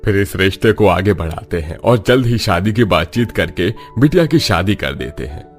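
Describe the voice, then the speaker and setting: A man's voice: deep voice, big pitch swings, normal speed, soft background music. An actor playing an agreeable middle-aged father in a cartoon story. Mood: decided. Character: agreeable middle-aged father arranging his daughter's wedding.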